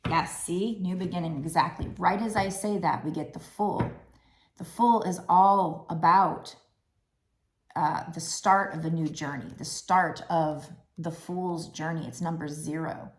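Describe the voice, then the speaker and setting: A woman doing a tarot card reading, talking gently and musingly with restarts, her throat a little raspy. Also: lowish voice, fairly even intonation, unhurried, reverberant. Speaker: tarot reader.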